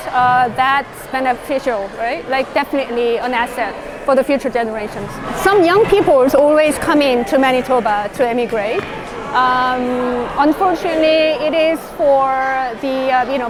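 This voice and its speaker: A middle-aged woman from Korea speaking, a school board chairperson giving rambling interview answers full of fillers and tag questions. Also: high-pitched. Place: indoor public event hall. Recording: crowd and noise behind.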